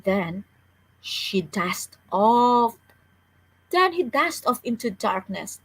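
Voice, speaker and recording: A woman's voice: a little high, sing-song, drawn-out words, breathy edge, no room sound, low-quality recording. A woman reading a children's story aloud as a storyteller, with careful delivery and the odd stumble over words.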